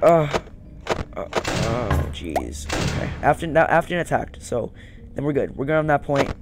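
Young male gamer over loud game music. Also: mid-range voice, sing-song, measured pace. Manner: muttering, repeats phrases. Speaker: gaming video host. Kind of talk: casual let's-play commentary. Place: small room indoors.

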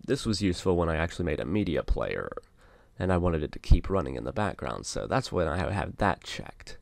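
A man narrating a casual software tutorial, explaining things step by step as an instructor. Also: deep voice, very animated, brisk, creaky, no room sound.

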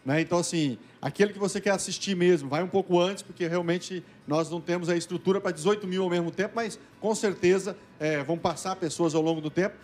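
A man in his forties speaking as a guest in a live TV studio interview, energetic and promotional, holding a handheld mic. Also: high-pitched. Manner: energetic, promotional, fast-flowing. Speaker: event organizer guest. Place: TV news studio.

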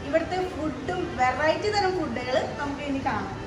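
A woman's voice: high voice, expressive, normal speed, a loud crowd. A woman chatting casually and eagerly about the food on a restaurant vlog. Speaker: food vlogger. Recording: echoey noisy restaurant.

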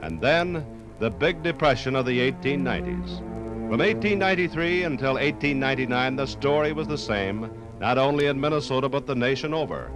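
Male narrator, medium pitch, expressive range, solemn vintage radio storytelling. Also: brisk, low-quality recording. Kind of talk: dramatic scripted 1950s radio narration. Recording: 1950s vinyl radio recording, music underneath.

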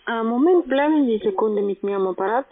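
High-pitched woman on a tinny phone line. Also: expressive, fast. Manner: non-native German with broken grammar. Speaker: call centre agent, sales caller. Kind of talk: sales phone call. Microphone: telephone.

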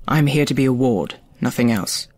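An actress playing a proud young huntress in a fantasy audio drama, blunt and guarded in manner. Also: female voice, extremely low pitch, normal speed, darkish tone, a little hiss or hum. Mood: defiant.

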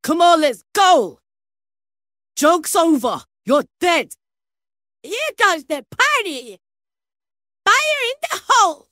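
Fierce female video game fighter, performed by a woman: commanding taunts, bright forceful tone, dry sound. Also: very high voice, big pitch swings, slow pace, pauses often, no echo, exaggerated voice. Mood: menacing. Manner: theatrical, menacing, commanding.

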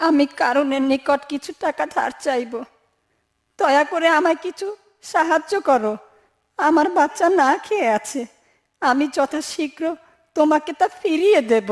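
A woman's voice: high voice, moderate pace, lightly acted. A woman playing a desperate, pleading poor mother in a dubbed cartoon.

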